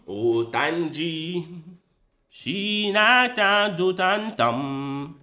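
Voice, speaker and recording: A man with Igbo as his first language talks through a chess game on stream, taking his time between thoughts. His voice is bright and fairly high, and it swings up and down a lot. The room around him rings with echo. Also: soft background music. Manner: thinks aloud while playing, with quick bursts of excitement. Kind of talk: casual live-stream commentary.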